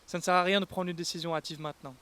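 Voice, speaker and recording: A man acts out a protective young group leader in an audio drama. His voice is high and very clear, and he takes charge in a firm, parental, reasonable manner. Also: steady tone, rapid-fire, sharp tone. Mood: calm, troubled. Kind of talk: audio fiction acting.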